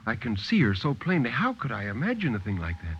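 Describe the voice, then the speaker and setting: A man's voice: medium pitch, huge pitch swings, fast, slight background noise. A man voicing an anxious, frightened wife with a hesitant, pleading manner, in 1950s radio style. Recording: dull, lo-fi 1950s radio recording.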